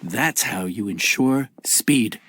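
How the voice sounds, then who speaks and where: A male actor playing a patient inn cook and mentor in a game, speaking in a measured, instructive way. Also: on the low side, animated, heavy background noise. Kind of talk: game cutscene voice acting. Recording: game music behind the voice.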